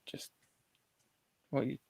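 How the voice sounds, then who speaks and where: A man's voice: slow, a little mellow, no echo. A man with a Bristolian accent hosting a casual livestream, reading out chat messages and chatting loosely. He swears casually and can't roll his R's. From Bristol, England.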